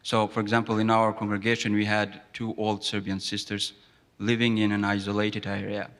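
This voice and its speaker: Earnest man from Kosovo, slightly low voice. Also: monotone, moderate pace, fairly bright tone, big-room sound. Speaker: interviewee. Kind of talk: formal interview testimony.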